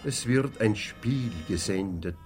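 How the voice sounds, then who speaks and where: A man, the author of a radio play, speaking a formal, measured foreword from an archive broadcast. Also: fairly low pitch, over music.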